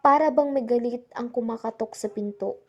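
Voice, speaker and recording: A woman's voice: fairly high pitch, normal speed, muffled recording, noisy background, natural voice. A young woman playing the narrator who recounts a frightening island trip in a scripted radio drama. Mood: uneasy storytelling. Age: young adult.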